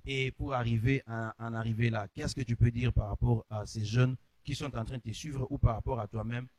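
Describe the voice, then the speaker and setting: A man's voice: mid-range voice, steady tone, a little fast, a little mellow, no room sound. Man hosting, dry sound. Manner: affirming interjections, amen and hmm. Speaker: host.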